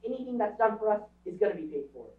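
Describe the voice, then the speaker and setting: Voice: mid-range voice, very animated, fast talker, lightly acted. A performer playing a proud, defiant young boy in a stage radio drama, blunt and insistent. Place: small theatre stage, recorded from audience camera. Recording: dull, echoey stage recording from far off.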